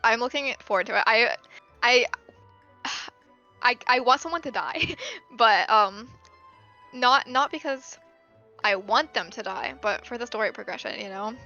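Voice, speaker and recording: A young woman talks casually over a game in halting, repeating phrases. Her voice is fairly high and bright, heard through dull lo-fi audio with music behind it. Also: lively intonation. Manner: hesitant, halting phrasing with repetitions. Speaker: gamer commentator. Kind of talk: casual gameplay chat.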